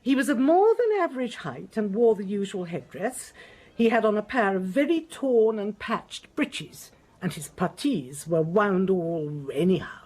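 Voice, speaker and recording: An actress playing an imperious, fussy titled lady, brisk and precise in a radio drama. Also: medium pitch, animated, a little mellow, poor audio. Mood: brisk, disapproving.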